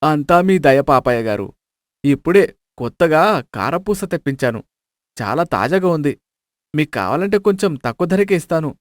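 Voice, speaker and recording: A man's voice: on the high side, lively intonation, moderate pace, pauses often, dry recording, studio quality, natural voice. A man playing a naive, deferential village fellow in a moral story, speaking politely and apologetically. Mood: humble.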